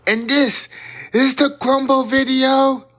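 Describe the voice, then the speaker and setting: A man's voice: extremely high pitch, flat delivery, a bit bright. A man commentating his own game, drawing out dramatic, boastful taunts and calling opponents noobs. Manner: drawn-out dramatic chanting taunts. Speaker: gamer commentating own match. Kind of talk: hyped trash-talk commentary.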